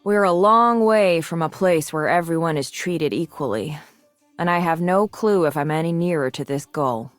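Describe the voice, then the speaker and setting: A woman voicing a mature, resolute and idealistic swordswoman in a video game, composed and earnest in her measured delivery. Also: fairly low pitch, no echo, soft background music, natural voice. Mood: solemn, reflective. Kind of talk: scripted video game character lines.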